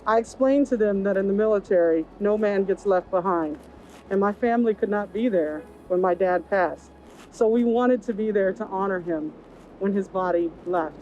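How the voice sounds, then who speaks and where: A woman's voice: mid-range voice, a little mellow, a little hiss or hum, low-quality recording. A woman giving a personal memorial account as a bereaved daughter.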